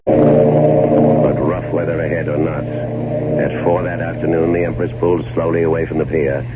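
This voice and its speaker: Deep-voiced fussy scheming older gentleman. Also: moderate pace. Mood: wry narration. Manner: dry, indignant, theatrical. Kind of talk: 1940s-style radio drama acting, first-person narration. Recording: muffled, very noisy old radio recording.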